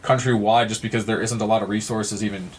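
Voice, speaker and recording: Lowish-voiced American man, slightly noisy recording. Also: steady tone, fast. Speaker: podcast guest, nonprofit founder. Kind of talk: conversational interview answers.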